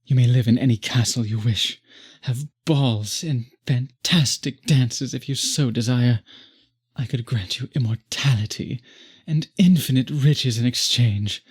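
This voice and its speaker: A man as a breathy, courtly, obsessive vampire. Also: mid-range voice, darkish tone. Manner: formal, florid, slow and beseeching. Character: courtly, obsessive, possessive male vampire pleading with the listener. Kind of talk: intimate roleplay audio acting.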